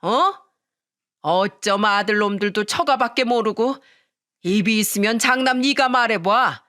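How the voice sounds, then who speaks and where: A woman's voice: on the high side, unhurried, sharp tone. A woman voicing a stern elderly mother-in-law who scolds bluntly in a dramatic family story. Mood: angry scolding. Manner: firm, reproachful, blunt.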